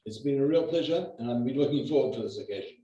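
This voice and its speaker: A man's voice: mid-range voice, animated, dark tone. Older guy from new zealand, lots of uhs, sounds far off in a roomy muffled call. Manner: measured, with many hesitations. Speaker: real estate agent guest. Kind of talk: conversational interview. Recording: echoey distant muffled video call.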